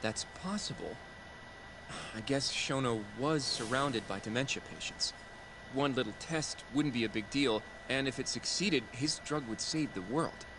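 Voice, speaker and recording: A man playing a thoughtful investigator who reasons things out in a measured, explanatory way, as game dialogue. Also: mid-range voice, background noise, natural voice. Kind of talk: video game dialogue acting.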